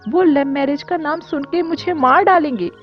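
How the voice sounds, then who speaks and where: A woman playing a timid, dutiful young woman in an animated story. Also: sits high, normal speed, lightly acted. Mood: fearful, pleading. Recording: dull lo-fi audio with music.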